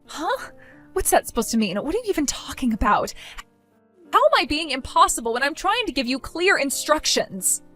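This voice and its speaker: A woman's voice: sits high, sing-song, normal speed, bright, forceful tone, faint music behind, heavily acted. A woman playing Annie, a whiny self-absorbed bride. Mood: puzzled. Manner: whiny, pleading, self-centered. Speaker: bride, younger sister. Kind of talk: scripted dramatic dialogue.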